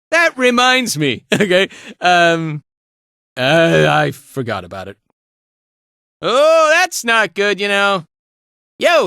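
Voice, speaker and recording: Animated, bright, easygoing game fighter. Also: male voice, high-pitched, clean tone, no echo, clean studio sound, full character voice. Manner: breezy, colloquial, tagging lines with casual check-ins. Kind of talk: animated video game voice acting.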